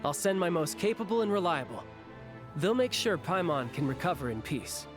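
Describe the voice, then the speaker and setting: A breezy, higher-pitched young warrior-diplomat. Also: male voice, big pitch swings, moderate pace. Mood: caring, light. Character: easygoing young warrior turned diplomat, protective brother. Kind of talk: anime game voice acting. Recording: music behind the voice.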